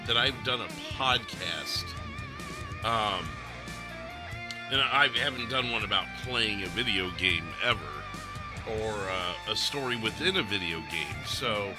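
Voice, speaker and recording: A man hosting a gaming podcast livestream in casual chat, often pausing mid-sentence. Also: fairly low pitch, over music.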